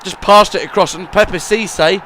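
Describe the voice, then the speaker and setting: A man narrates his football video game matches as a voice-over, rambling and repeating himself as he goes. His voice sits a little high for a man. It sounds bright and forceful. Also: a little slow. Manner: rambling, repeats words, swings between gloom and excitement.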